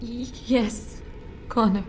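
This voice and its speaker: An actress voicing a frightened noblewoman in a video game, who pleads haltingly for her son. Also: a little high, steady tone, background noise. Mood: fearful. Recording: game audio with faint music.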